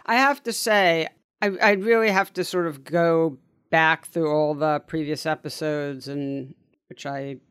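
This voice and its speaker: Smooth-voiced, enthusiastic podcast host. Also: mid-range voice, fairly even intonation, unhurried, no room sound, clean studio sound. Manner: enthusiastic, warm-hearted, chatty with many fillers. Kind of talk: conversational podcast chat.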